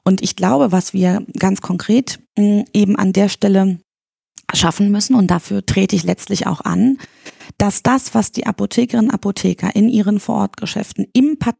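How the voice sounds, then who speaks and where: A woman in her forties from East Berlin, answering interview questions in a measured way, pausing and searching for words now and then. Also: medium pitch, gravelly, mellow, slightly rough, no room sound, studio quality. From East Berlin, Germany. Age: 40s. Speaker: candidate for pharmacists' chamber, guest. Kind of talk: podcast interview.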